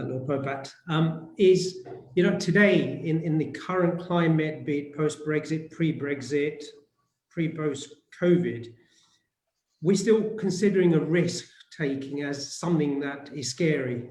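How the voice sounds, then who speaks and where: Male co-host from East Africa, reflective and halting; slightly high voice, roomy echo. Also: darkish tone, a little hiss or hum. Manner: reflective, trailing off and repeating words. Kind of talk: conversational panel remarks.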